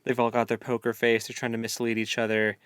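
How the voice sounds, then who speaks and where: Even-toned man in casual chat. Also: medium pitch, quick pace, clean tone, no room sound, studio quality. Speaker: co-host of a book read-along discussion. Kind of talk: casual book discussion chat.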